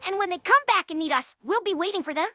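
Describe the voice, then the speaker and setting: A woman's voice: extremely high pitch, lively intonation, quick pace, sharp tone, soft background music, exaggerated voice. A woman voicing a brash, loyal young female pegasus pony with a youthful, slangy, cocky delivery in a cartoon audio drama. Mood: earnest. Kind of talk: animated cartoon-style audio drama dialogue.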